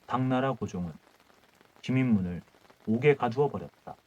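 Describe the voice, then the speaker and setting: A man reads a folk tale at bedtime in calm, measured phrases. His voice sits in a middle register. The recording sounds a little dull and muffled. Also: mid-range voice. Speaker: audiobook narrator.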